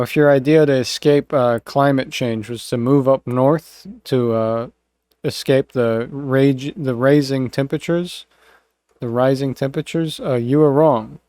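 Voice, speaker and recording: A man's voice: medium pitch, darkish tone, smooth, no echo, clean studio sound. A man hosting a casual podcast, congested, rambling with lots of fillers and laughs. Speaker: podcast host. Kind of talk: casual chat.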